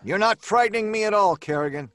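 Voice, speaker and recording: An actor playing a flippant, excitable story writer in an old-time radio drama, breezy and bantering, mockingly unafraid. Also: male voice, high voice, fast, no room sound. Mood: mocking defiance.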